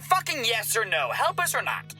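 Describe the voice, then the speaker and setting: Woman acting sharp, high-voiced, sarcastic researcher. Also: huge pitch swings, moderate pace, soft background music, full character voice. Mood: exasperated, biting. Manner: blunt, sarcastic, exasperated. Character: defiant, sarcastic, foul-mouthed occult researcher, fiercely loyal. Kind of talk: dramatic audio roleplay acting.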